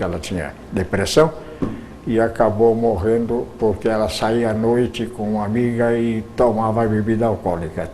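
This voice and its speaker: A man's voice: fairly low pitch, a little mellow, a little hiss or hum. An older man, a retired radio announcer telling his life story, rambling with frequent restarts.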